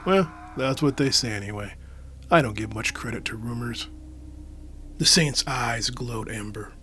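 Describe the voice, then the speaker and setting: A man's voice: mid-range voice, unhurried, bright, forceful tone, slight background noise. An actor playing a wry, hard-boiled guardian, telling his story in dry, noirish first-person dramatic narration. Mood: ominous. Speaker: narrator and lead.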